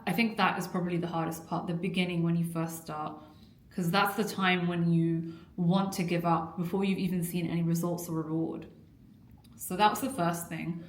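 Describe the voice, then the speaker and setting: Earnest woman vlogging in an echoey room. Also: fairly low pitch. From Iraqi family. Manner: candid, earnest, confessional. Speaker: weight-loss coach. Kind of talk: personal vlog, talking to camera.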